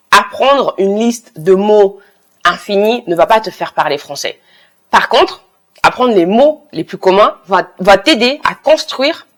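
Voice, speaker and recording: A woman with a French accent, a native French speaker giving casual, emphatic language-learning advice to the camera. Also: on the low side. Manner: switches between English, French and Italian, emphatic and encouraging. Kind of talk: casual talking-head advice.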